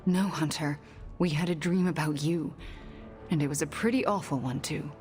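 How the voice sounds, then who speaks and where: A guarded, gently wry woman played by an actress in a video game. Her voice is very low and hesitant, sinking into a hush as phrases end, with faint music underneath. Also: normal speed, close to an everyday voice. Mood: uneasy. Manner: hesitant, hushed phrase endings. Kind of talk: video game cutscene acting.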